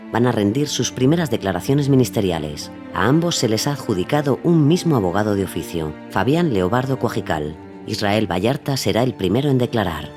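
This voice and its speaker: A man narrating a scripted documentary drama as its storyteller. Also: lowish voice.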